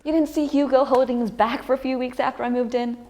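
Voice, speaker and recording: A woman performs an educated, teasing flatmate in a sitcom table read. Her voice is on the high side. She is matter-of-fact and gently sarcastic. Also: fast.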